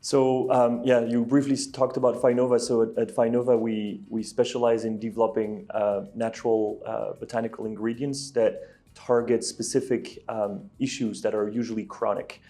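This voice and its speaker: A man's voice: mid-range voice, level tone, normal speed, warm tone, faint music behind. A man from Belgium, answering interview questions as a company executive, hesitant with many ums and restarts. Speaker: guest, ingredient company executive.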